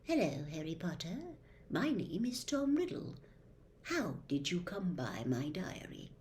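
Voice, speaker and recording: A woman voicing the persuasive memory of a young man trapped in a diary, speaking in a hushed, half-whispered way. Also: on the low side, sing-song, unhurried, slight background noise. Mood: secretive. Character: young male phantom in a diary, secretive and persuasive.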